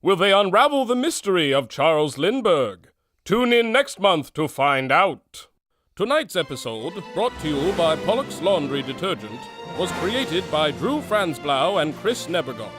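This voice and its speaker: A man announcing an old-time radio adventure serial in a theatrical, emphatic style. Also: a little high.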